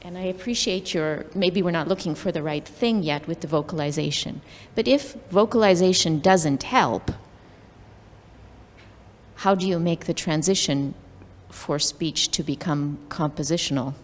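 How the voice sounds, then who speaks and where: A woman putting a question at an academic discussion, speaking deliberately and pausing between phrases. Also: deep voice. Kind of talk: conference question and commentary. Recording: echoey hall with faint background noise.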